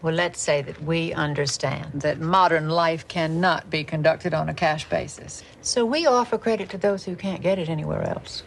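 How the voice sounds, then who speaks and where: Coolly firm finance official, gravelly fry, formal and measured, TV drama, faint background noise. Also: female voice, deep voice. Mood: cool, businesslike. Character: poised, businesslike credit card company official, coolly firm. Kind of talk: naturalistic television drama acting.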